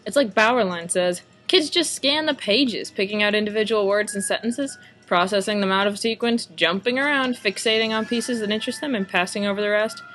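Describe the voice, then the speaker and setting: A woman's voice: medium pitch, brisk, few pauses, a bit bright, faint music behind, close to an everyday voice, rough recording. Woman playing a tough hard-boiled noir detective. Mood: scornful. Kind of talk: amateur noir radio drama acting.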